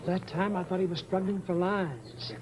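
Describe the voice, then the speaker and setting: Matter-of-fact theatre colleague, slightly high pitch, vintage suspense drama, noisy recording. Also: male voice, expressive, moderate pace. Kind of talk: mid-century suspense drama acting.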